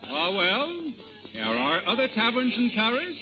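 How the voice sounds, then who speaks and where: An actor playing a young, flamboyant, teasing rogue poet, declaiming floridly in 1930s radio drama style. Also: male voice, extremely high pitch, sing-song, a little slow, full character voice. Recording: muffled 1930s radio broadcast, crowd behind.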